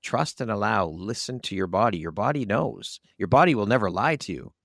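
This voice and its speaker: A man's voice: fairly low pitch, expressive, brisk, creaky, fairly bright tone, no room sound, clean studio sound. A man hosting an interview podcast in a conversational style. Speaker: podcast host.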